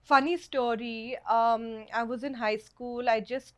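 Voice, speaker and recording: Woman, young adult, Kannada accent, slightly high voice, rambling casual chat, lots of fillers. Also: steady tone, unhurried, fairly bright tone, highs cut off. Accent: South Indian Kannada. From Karnataka, India. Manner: chatty, many "like" and "you know" fillers. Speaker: guest photographer. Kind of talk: casual podcast interview.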